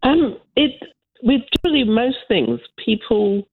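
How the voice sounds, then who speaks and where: A woman, an author and prize judge, answering in a measured, assured way over a phone line. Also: fairly high pitch, lively intonation, slightly rough. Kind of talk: radio interview.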